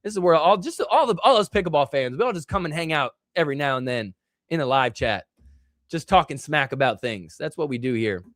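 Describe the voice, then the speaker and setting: A chatty man hosts a casual live stream and rambles on with plenty of filler words. His voice sits a little high for a man, and it is still recovering from illness. The sound is dry and close to the mic. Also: lively intonation, fairly quick, fairly bright tone, dry recording. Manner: rambling, filler-heavy, reads chat aloud. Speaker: host of a live stream show.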